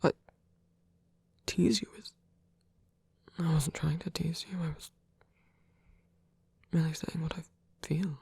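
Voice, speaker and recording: A performer voicing a gentle, devoted male vampire priest in an intimate ASMR roleplay, speaking in a breathy, hesitant murmur with formal wording. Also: mid-range voice, steady tone, slow, long pauses, no echo, heavily acted. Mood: hesitant, earnest. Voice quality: breathy, whispery. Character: soft-spoken, tender male vampire priest, earnest and devoted. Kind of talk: intimate ASMR roleplay, scripted romantic audio drama. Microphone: close, dry microphone.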